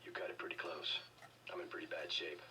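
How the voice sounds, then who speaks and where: An actor playing a stoic, terse, deadpan male government agent in a video game, on a noisy distant capture. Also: extremely low pitch, fast.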